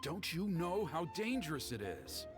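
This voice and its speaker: A stern, protective guardian woman from a video game. She scolds with dramatically swinging pitch while music plays clearly behind her. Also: sing-song, unhurried. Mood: scolding.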